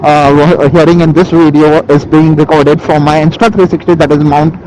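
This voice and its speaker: A man with an Indian accent giving a casual, halting product review as a motovlogger, speaking into a helmet intercom microphone. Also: fairly high pitch, flat delivery, a little mellow, poor audio. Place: outdoors, riding a motorcycle. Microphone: Bluetooth helmet intercom microphone. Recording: helmet intercom mic with vehicle noise.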